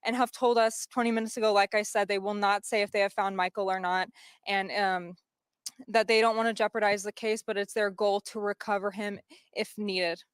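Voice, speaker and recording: An American woman giving a live TV news field report, rephrasing haltingly as she goes. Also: mid-range voice, flat delivery, smooth, no echo, clean studio sound.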